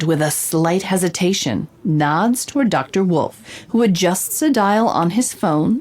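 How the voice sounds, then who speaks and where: An actress playing an investigative reporter, narrating a scripted audio drama with measured, descriptive storytelling. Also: female voice, fairly low pitch. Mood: measured, suspenseful. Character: female reporter narrating her investigative holocast.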